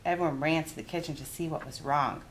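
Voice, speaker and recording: A woman narrating a children's story in an expressive read-aloud style. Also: sits low, quick pace. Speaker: youth adviser reading a children's story.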